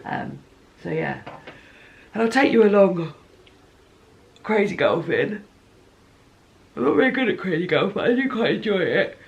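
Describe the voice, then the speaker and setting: Chatty woman with a gravelly voice. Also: lowish voice, lively intonation, measured pace, long pauses, dull audio. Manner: chatty, rambling, self-correcting. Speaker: book vlogger. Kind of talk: casual vlog diary. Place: home.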